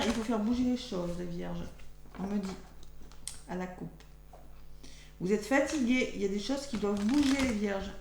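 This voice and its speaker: Hoarse French woman reading tarot cards. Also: a little high, a little mellow. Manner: chatty, thinks aloud while drawing cards. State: losing her voice, talking softly. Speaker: tarot card reader. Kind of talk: weekly astrology card reading. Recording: echoey room with faint hum.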